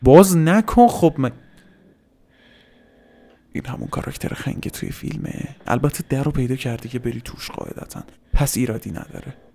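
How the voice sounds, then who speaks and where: A man's voice: mid-range voice, animated, vocal fry, mellow. A man gives casual reaction commentary as a host, swearing informally and dropping in English slang.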